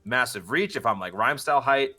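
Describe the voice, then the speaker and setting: A young man with a bright, forceful voice at an ordinary middle pitch. He chats casually as a podcast guest, joking and teasing himself in a playful way. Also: animated, a little fast, soft background music. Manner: playful, jokey, self-deprecating.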